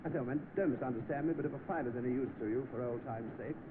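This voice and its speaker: A man's voice: mid-range voice, rapid-fire, close to an everyday voice. A man with a British accent playing a blustering, kindly, well-off man-about-town in an old film. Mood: hearty, awkward. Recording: tinny noisy old film soundtrack.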